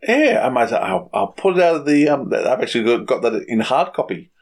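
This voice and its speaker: A man's voice: medium pitch, sing-song, brisk. A middle-aged man co-hosting a podcast in casual, conversational talk. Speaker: podcast co-host.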